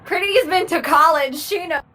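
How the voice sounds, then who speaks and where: A woman's voice: very high voice, moderate pace, sharp tone. A woman co-commentator in casual banter, blunt and sweary. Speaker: reaction show co-commentator.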